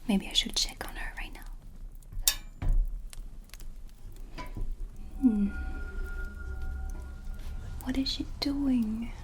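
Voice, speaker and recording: A woman acts out a dreamy, kindly witch in her shop for a cinematic ASMR roleplay. She whispers softly and slowly, in a gentle, confiding way, with music playing clearly behind her. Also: on the high side. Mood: curious, watchful. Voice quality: breathy, whispery soft voice. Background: ambient music.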